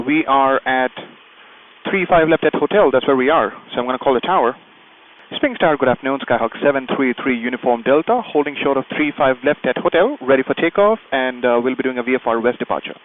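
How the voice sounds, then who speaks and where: A man's voice: mid-range voice, fairly quick, phone-quality sound. A man working as a pilot and video host, explaining procedures step by step from a small plane cockpit and making radio calls. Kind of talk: instructional vlog commentary with radio calls from the cockpit.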